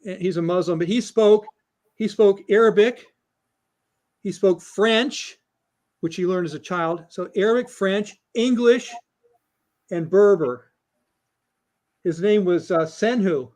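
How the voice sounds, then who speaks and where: A man's voice: high-pitched, slow pace, long pauses, a little mellow. A chatty man talking casually in an online meeting, self-deprecating. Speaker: guest presenter.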